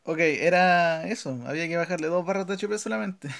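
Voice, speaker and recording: A man's voice: sits high, moderate pace, creaky, a bit bright. A man with a Chilean Spanish accent giving casual, slangy live gameplay commentary, talking partly to himself as a gaming video host. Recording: game music behind. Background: video game music.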